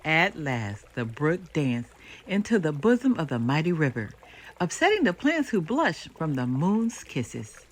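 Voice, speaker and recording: An older woman storyteller narrates a children's book with very lively, swooping intonation. Her voice is somewhat husky, and she phrases lines dramatically and deliberately. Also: deep voice, low-quality recording. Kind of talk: expressive scripted storybook narration.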